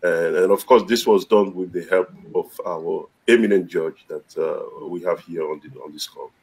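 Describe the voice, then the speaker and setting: A middle-aged man answering interview questions from a home office over a video call, formal and halting with many fillers. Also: low voice, a little mellow, poor audio. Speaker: business chamber executive guest.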